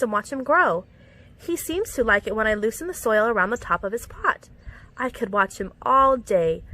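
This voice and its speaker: A woman reading a children's picture book aloud in an expressive, animated storytelling manner with clear enunciation. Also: a little high. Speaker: storytime reader. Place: outdoors among plants.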